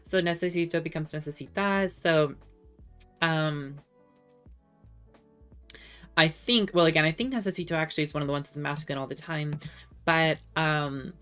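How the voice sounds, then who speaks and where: A young woman with a drifting Californian accent talks in a low, smooth voice. She meanders through viewer comments, full of ums and restarts and a feverish edge. Faint music plays under her. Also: normal speed, long pauses, a bit bright. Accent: Californian American, shifted by time abroad. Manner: rambling, many ums and restarts, laughs often. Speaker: streamer. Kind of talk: casual live-stream chat reading comments.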